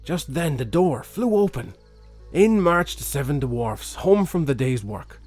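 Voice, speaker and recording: A man's voice: fairly high pitch, animated, a little slow, a little mellow, faint music behind. A man reading a fairy tale expressively as a children's storyteller.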